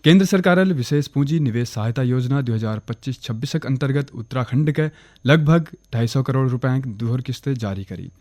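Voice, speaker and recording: A man with a Kumaoni accent reading a regional radio news bulletin in a steady, formal way. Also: medium pitch, a little fast, dry recording, clean studio sound. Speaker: newsreader. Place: radio studio.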